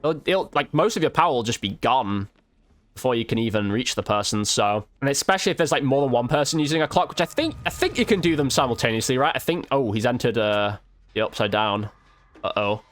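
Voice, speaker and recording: A man's voice: medium pitch, lively intonation, brisk. A man giving casual, informal gameplay commentary as a video host, with slang and mild swearing.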